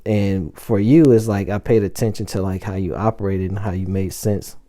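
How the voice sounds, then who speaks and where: A man's voice: low voice, steady tone, normal speed, mellow. A man in his forties in a casual couple chat, thoughtful and searching for his words as he goes. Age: 40s. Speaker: vlogger talking with his partner.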